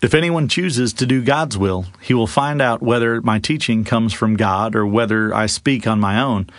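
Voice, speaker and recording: A man's voice: medium pitch, normal speed, no echo, close to an everyday voice. A man voicing an earnest male teacher addressing a crowd in an emphatic, declarative way in a scripture reading. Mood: firm, earnest.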